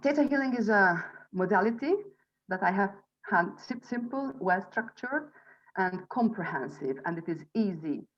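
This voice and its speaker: A woman in her forties with a Hungarian accent, giving an informal spiritual presentation to an online group as a healing instructor and coach, in halting, deliberate phrases. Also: medium pitch, lively intonation. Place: online video call from Budapest. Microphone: video call microphone. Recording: dull, lo-fi video call audio.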